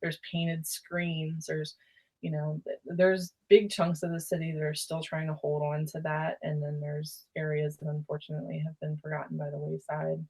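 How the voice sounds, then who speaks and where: A woman from Baltimore with a Baltimore accent, chatting as a podcast guest and telling lively stories. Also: low voice, moderate pace, gravelly, poor audio. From Baltimore, USA. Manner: lively storyteller who acts out quoted voices. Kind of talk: casual podcast chat.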